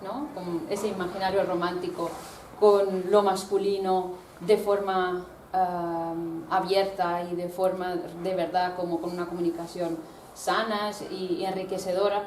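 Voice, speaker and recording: A woman from Italy, a researcher giving a talk with slides, speaking thoughtfully and pausing often to search for words. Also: fairly low pitch, a little mellow. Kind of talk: discussion talk presenting slides. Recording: roomy, distant mic, background noise.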